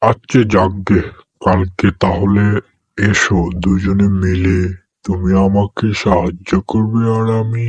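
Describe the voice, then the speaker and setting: A man voicing a bossy, unhurried male tiger in a cartoon animal story. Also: low-pitched, level tone, slightly rough, no room sound, exaggerated voice.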